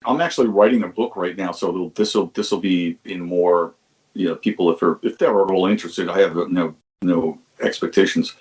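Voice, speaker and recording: An older man with a fairly low voice tells stories from his past in a loose, rambling way. He restarts sentences often, swears now and then, and chuckles as he goes. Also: normal speed, a little mellow, poor audio. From Long Island, New York. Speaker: musician guest. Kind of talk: conversational interview storytelling.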